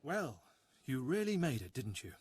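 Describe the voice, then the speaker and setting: An actor playing a solemn cult mentor in a video game, speaking in a measured, reverent, husky voice. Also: male voice, medium pitch, sing-song, darkish tone. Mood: solemn, approving. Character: solemn male cult elder guiding an initiate. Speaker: game character, cult initiator. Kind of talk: dramatic video game voice acting.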